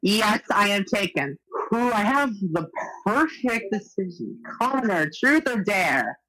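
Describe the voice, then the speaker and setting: A woman's voice: mid-range voice, sing-song, slow, fairly bright tone, low-quality recording. A woman chatting casually on a group call, playing a party game. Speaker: truth or dare player.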